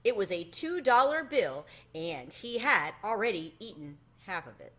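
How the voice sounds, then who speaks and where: Animated woman reading a children's story. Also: medium pitch, moderate pace. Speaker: storybook reader. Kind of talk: expressive children's read-aloud narration.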